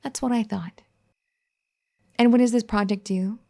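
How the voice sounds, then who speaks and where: A woman's voice: on the high side, fairly even intonation, unhurried, creaky. A woman playing a bossy, caring young wife. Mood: scolding, sassy. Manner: bossy teasing scolding that turns gentle and pleading. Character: firm, caring young wife scolding an overworking spouse. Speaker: ASMR roleplay performer. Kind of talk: audio roleplay addressed to the listener.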